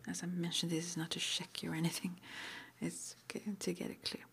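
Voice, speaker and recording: A woman whispering in an ASMR roleplay as an interviewer, breathy and halting, with gentle questions. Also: on the low side, monotone. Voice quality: breathy, mostly unvoiced whisper. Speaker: ASMR roleplay performer as witch school interviewer.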